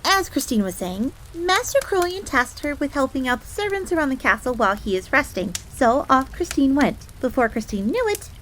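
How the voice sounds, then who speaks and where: Casting: prim tsundere fox maid, played by a woman, higher-pitched, formal, jealous and devoted servant, audio roleplay with rain behind. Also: moderate pace, hardly any pauses, poor audio. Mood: composed, devoted. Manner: formal, stiff, speaks of herself in third person. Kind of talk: scripted audio roleplay acting. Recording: steady rain noise in background. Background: rain ambience.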